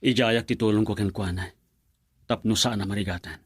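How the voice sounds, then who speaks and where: An actor playing an earnest man who calmly and persuasively asks to talk with a young woman. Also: fairly low pitch, brisk, breathy edge, dry recording, studio quality. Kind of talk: radio drama acting.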